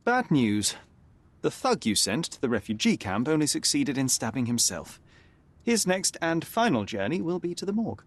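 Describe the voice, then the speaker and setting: A man voicing a confident young male detective in a video game, calm and precise. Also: on the high side, moderate pace. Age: young adult. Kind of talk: video game cutscene dialogue.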